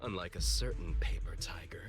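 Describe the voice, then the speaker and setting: An actor voicing a grandiose, fanatical cult villain in a video game, preaching in a pompous, theatrical way. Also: male voice, low-pitched, normal speed, whispered, close to an everyday voice. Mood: smug. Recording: game audio under loud music.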